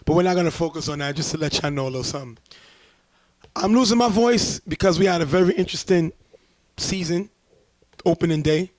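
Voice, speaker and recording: A man hosting a casual sports talk show, a bit hoarse as he loses his voice, breaking down plays in a chatty way. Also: fairly high pitch, a little fast, pauses often, no room sound. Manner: relaxed, chatty play-by-play breakdown. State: losing his voice. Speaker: show host, sports commentator.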